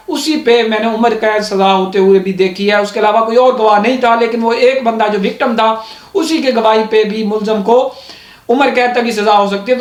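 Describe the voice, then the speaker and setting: A man with a Pakistani accent explaining law informally, repeating his points and often checking in as he goes. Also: high voice. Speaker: legal educator. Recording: echoey, reverberant room.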